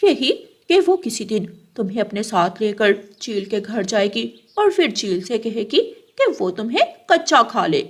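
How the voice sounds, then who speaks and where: Woman voicing a spiteful, high-voiced gossiping mother crow. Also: sing-song, normal speed, hardly any pauses. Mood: scheming, insinuating. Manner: bossy, insinuating.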